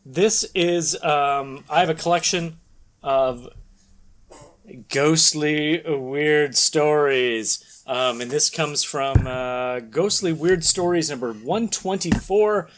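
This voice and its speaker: A man hosting a podcast with casual banter, speaking in a halting, rambling way. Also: fairly high pitch, fairly bright tone. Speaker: podcast host. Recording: background noise.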